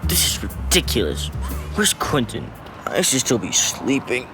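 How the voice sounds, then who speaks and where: A woman's voice: rumbling low, very animated, unhurried, music in the background, heavily acted. A woman playing an anxious, reluctant schoolboy sidekick in a children's audio drama, with a youthful voice and a plain, complaining manner. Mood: grumpy. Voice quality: youthful, boyish.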